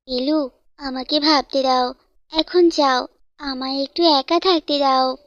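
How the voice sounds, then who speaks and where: A woman's voice: high voice, fairly even intonation, takes it slowly, no echo, muffled recording. A woman voicing a lovelorn young male bird in a cartoon, brooding and subdued. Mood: downcast.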